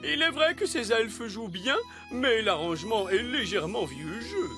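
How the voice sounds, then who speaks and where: A woman playing a formal, condescending visiting queen in a children's cartoon, with measured, posh manners. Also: on the high side, lively intonation. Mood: polite, condescending. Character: refined, condescending visiting royal, easily delighted. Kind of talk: dubbed children's cartoon acting. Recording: music behind the voice.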